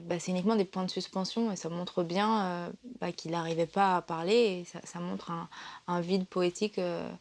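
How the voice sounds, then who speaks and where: A woman's voice: lowish voice, steady tone, moderate pace, darkish tone. A woman presenting a literature vlog in a casual, playful and emotional way, often hesitating with fillers and restarts.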